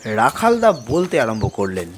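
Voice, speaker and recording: প্রাণবন্ত, ওঠানামা করা গলায় অভিনেতার গল্পকথক পুরুষ. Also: male voice, medium pitch, slight background noise. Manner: expressive, unhurried. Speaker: first-person narrator. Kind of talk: dramatised horror story narration.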